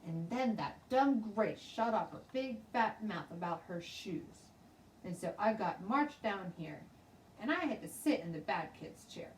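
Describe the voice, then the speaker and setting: A woman's voice: medium pitch, animated, unhurried, lightly acted. A woman voicing a stubborn, chatty little kindergarten girl with childish, emphatic grammar in a children's storybook read-aloud. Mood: eager, boastful. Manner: childish ungrammatical speech, emphatic, insistent. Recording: roomy, distant mic, faint noise.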